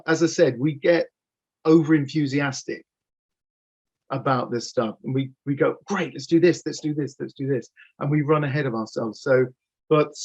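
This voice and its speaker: Enthusiastic middle-aged man on a dull video call. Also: medium pitch. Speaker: audio drama producer, interview guest. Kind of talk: casual interview conversation. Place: home office with bookcases. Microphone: computer mic on video call.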